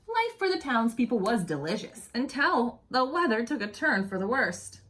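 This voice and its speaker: Woman reading a storybook, very animated pitch. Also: moderate pace. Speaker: children's picture book reader. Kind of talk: expressive read-aloud storytelling with asides.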